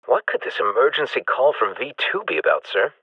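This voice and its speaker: A man's voice: mid-range voice, very animated, a little fast, slightly rough, dry recording, lo-fi sound. A man voicing an anxious, deferential junior military officer in a video game, speaking urgently to his superior.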